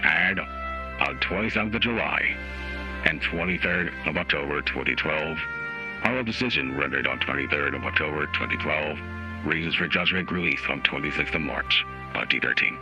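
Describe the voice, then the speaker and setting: A man voicing a grave, literary judge in a formal, measured, theatrical dramatic reading of a court opinion. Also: a bass voice, voice pushed down, poor audio. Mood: solemn, formal. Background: music.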